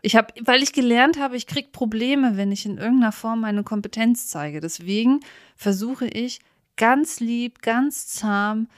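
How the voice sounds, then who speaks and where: Female co-host, slightly high pitch, casual chat, candid and self-effacing, dry recording. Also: unhurried, dry recording. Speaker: podcast co-host.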